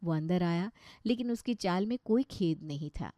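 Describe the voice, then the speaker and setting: A woman's voice: on the low side, lively intonation, moderate pace, warm tone, dry recording. A woman storyteller reading scripted narration of a moral tale.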